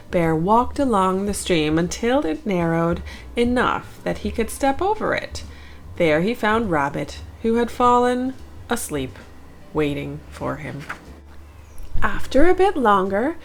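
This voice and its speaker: A woman narrating a children's picture book aloud in an expressive storytelling style. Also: mid-range voice, measured pace, a little hiss or hum. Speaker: storybook narrator, channel host.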